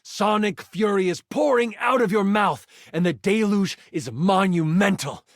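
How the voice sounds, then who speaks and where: A theatrical game master with a fairly high male voice. He narrates fantasy adventure with suspense and weighted pauses, recorded close and dry. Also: dry recording. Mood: vivid, suspenseful. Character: living twenty-sided die, dramatic male game master. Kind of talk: dramatic tabletop fantasy narration.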